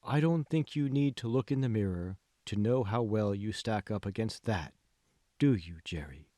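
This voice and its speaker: A man playing a calm, manipulative male mentor in an audiobook, speaking slowly and deliberately with hushed, condescending questions. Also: fairly low pitch, no echo, studio quality, lightly acted. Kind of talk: dramatic audiobook dialogue.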